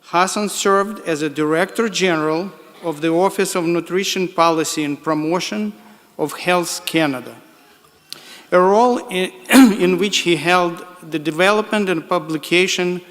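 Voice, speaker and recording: Slow-speaking man with a Ukrainian accent. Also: fairly high pitch, fairly bright tone, long reverb tail. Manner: slow, careful, halting with small restarts. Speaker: board co-chair introducing the guest speaker. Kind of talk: formal introduction speech. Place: large hall.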